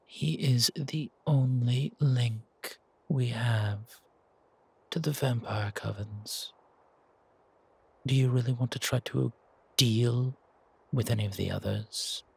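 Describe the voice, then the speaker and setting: A man playing a genteel, patronizing, quietly menacing leader in an audio drama, husky and gravelly, softly chiding in a measured way. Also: medium pitch, exaggerated voice. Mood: persuading. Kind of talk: audio roleplay drama acting.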